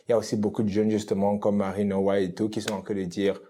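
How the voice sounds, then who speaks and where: A man from Cameroon talks things through in a relaxed interview, his voice sitting low and sounding dark and mellow. He often pauses on fillers and restarts as he searches for his words. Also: a little hiss or hum. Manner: thoughtful, many hesitant fillers and restarts. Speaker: artist guest. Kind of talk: casual interview conversation.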